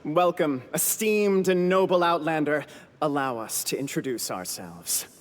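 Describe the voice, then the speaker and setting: Pompous, highish man as a bossy game creature. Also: a little slow, reverberant, long reverb tail, lightly acted. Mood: grandiose. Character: bossy, pompous clan leader creature, self-styled poet. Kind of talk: video game voice acting. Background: prominent background music.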